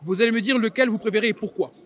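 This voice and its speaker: A man's voice: sits high, rapid-fire, fairly bright tone. A young man doing a teasing street interview outdoors with a handheld mic, joking and pushing his guests. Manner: playful, pushy, joking. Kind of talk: teasing street vox pop. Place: busy city street outdoors.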